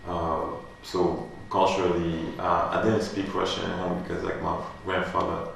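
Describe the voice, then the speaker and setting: Deep gravelly man in an echoey gallery. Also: little pitch movement, normal speed, a bit bright. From France. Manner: loose, rambling with many fillers. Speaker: artist. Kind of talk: gallery artist talk. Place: gallery space. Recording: echoey room, distant mic, faint hum.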